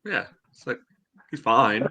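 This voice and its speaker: A man co-hosting a casual sports podcast, giving his take in a dry, deadpan way. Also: mid-range voice, slow pace, slight background noise. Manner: dry, dull deadpan delivery. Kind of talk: casual panel commentary.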